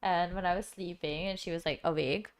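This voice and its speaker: A woman's voice: lowish voice, steady tone, fairly quick. A young woman streamer with a dry, sick-sounding throat, chatting casually and rambling with lots of filler words. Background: background music.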